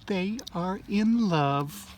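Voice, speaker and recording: A man's voice: high voice, lively intonation, extremely slow, darkish tone, slight background noise, exaggerated voice. A man voicing a cheerful storybook pig who breaks news in a matter-of-fact, sing-song way.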